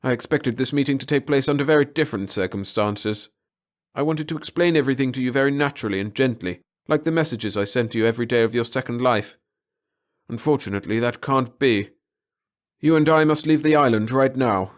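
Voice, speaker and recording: A man's voice: mid-range voice, fairly quick, voice pushed up, close to an everyday voice. A man voicing an intense middle-aged biologist in an audiobook, formal and insistent. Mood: urgent, formal. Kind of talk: dramatic audiobook character reading.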